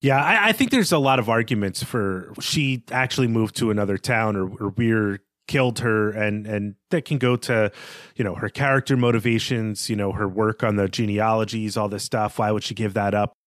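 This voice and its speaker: A man's voice: fairly low pitch, moderate pace, a bit bright, dry recording. Man discussing books, close dry sound. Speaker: co-host of a book discussion podcast. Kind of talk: conversational literary discussion.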